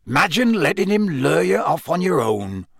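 A man's voice: fairly high pitch, big pitch swings, measured pace, creaky, a bit bright, breathy edge, no echo, voice pushed up, heavily acted. A man voicing a huge, hot-tempered, loyal giant of a man, growling in blunt rustic speech for an audiobook. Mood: angry, indignant. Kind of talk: audiobook character acting.

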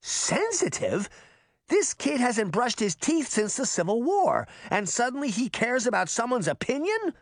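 A man voicing a feisty, sharp-tongued girl in a children's fantasy audiobook, defensive and sarcastic. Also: high-pitched, takes it slowly, few pauses, dry recording, studio quality, voice pushed up. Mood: exasperated.

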